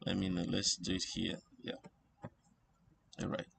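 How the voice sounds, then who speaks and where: A man giving an informal electronics tutorial, thinking aloud in a halting way and repeating phrases as he works things out. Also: sits low, monotone, creaky, fairly bright tone, slight background noise. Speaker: instructor.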